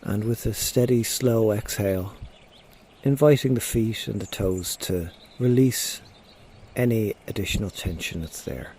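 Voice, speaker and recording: A man leading a guided body scan meditation, giving gentle, unhurried instructions with frequent pauses. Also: fairly low pitch, slight background noise. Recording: faint outdoor nature sounds behind. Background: birds and nature sounds.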